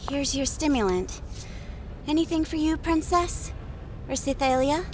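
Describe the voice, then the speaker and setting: An actress playing an attentive, nagging assistant in an audio drama, with a solicitous, deliberate manner. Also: female voice, high voice, animated, background noise. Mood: attentive, insistent.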